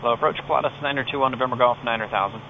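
American pilot over clear cockpit noise. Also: male voice, mid-range voice, rapid-fire, phone-quality sound. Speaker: pilot narrating a flight. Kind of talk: casual cockpit vlog mixed with clipped radio calls. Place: cockpit of a small turboprop plane in flight. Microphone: aviation headset. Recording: steady aircraft drone behind headset audio.

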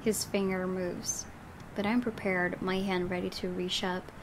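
A woman's voice: lowish voice, level tone, a little slow, noisy background. A woman hosting a gaming video, reading story narration aloud dramatically with casual reactions. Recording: game music clearly behind. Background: game music.

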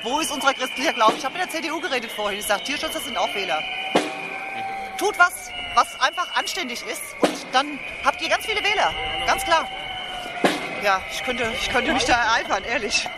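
An indignant woman gives her view in an outdoor street interview. She speaks emphatically, with loud music playing behind her. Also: sits high, sing-song, brisk. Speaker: passer-by being interviewed.

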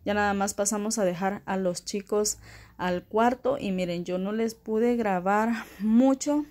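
A woman's voice: mid-range voice, measured pace, darkish tone. A woman in her thirties chatting casually to her viewers in a home vlog, recorded on a phone in a small kitchen. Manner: chatty, addresses viewers as friends. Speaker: vlogger.

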